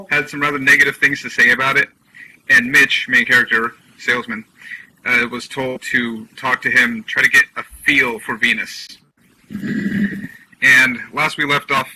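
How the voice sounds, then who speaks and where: A man casually recapping the last chapter of a book, relaxed and rambling with fillers. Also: mid-range voice, breathy edge, low-quality recording. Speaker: reader.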